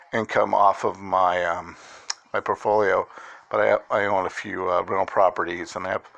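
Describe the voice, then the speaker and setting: A man in his fifties talking casually through his investment portfolio, halting with many fillers and restarts. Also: lowish voice, gravelly, fairly bright tone. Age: 50s. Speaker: investing vlogger. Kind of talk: casual screen-share walkthrough.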